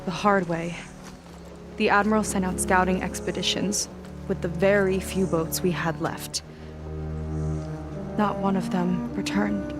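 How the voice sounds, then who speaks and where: Actress as a determined young naval officer, music behind. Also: female voice, medium pitch, natural voice. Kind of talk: video game cutscene acting.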